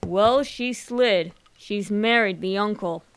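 A woman playing an excitable, bumbling young upper-class gentleman in a radio drama, full of flustered old-fashioned slang. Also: mid-range voice, slow pace, clean tone. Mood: agitated.

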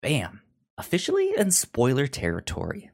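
Male podcast host, casual and jokey, lively swinging pitch, prone to stammered repeats. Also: normal speed, gravelly, loud music behind the voice. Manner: animated, jokey, chatty.

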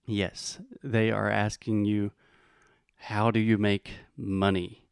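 An American man teaching English in a bilingual lesson, explaining patiently and modeling phrases slowly. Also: on the low side, little pitch movement, gravelly, clear, resonant tone, dry recording, clean studio sound. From United States.

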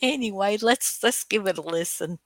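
A woman's voice: medium pitch, normal speed, a little hiss or hum. A woman chatting casually as co-host of a radio show. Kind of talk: casual chat.

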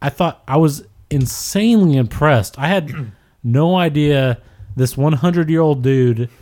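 A man's voice: on the high side, unhurried, mellow. An American man chatting casually on a podcast, giving opinions in an informal, emphatic, slangy way. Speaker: podcast co-host.

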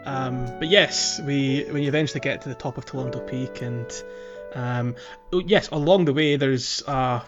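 A man's voice: medium pitch, normal speed, few pauses, lo-fi sound, over music. A man co-hosting a casual video game podcast, talking in a hesitant way as he searches for his words. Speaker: podcast co-host.

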